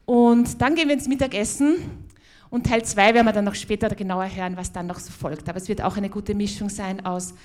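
A woman's voice: mid-range voice, fairly even intonation, a little fast, darkish tone. Viennese woman hosting a conference. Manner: friendly, loose spoken welcome with many fillers and restarts. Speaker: conference host. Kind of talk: opening speech at a conference. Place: conference hall.

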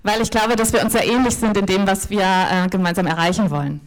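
A woman's voice: mid-range voice, steady tone, fast talker, faint music behind. A woman interpreting a talk consecutively, hesitating often with fillers and restarts. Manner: hesitant, many fillers and restarts while translating.

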